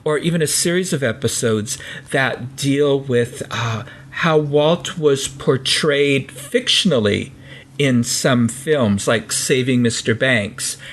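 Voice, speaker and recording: A man's voice: medium pitch, slow pace. A man with a General American accent co-hosting a podcast, chatting casually with lots of restarts and fillers. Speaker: podcast co-host.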